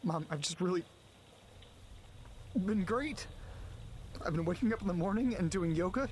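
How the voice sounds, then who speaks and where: An actor playing an anxious, distressed young man on a phone call to his mother in an audio drama, halting and pleading as he talks. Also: sits high, lively intonation, a little mellow, a little hiss or hum. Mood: confused, faltering. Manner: hesitant, halting, faltering, pleading.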